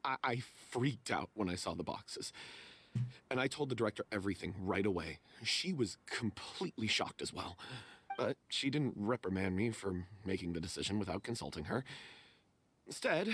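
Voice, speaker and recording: Guilty troupe member, husky n really emotional pitch swings, self loathing game drama acting. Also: male voice, fairly bright tone, exaggerated voice. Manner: remorseful, halting confession.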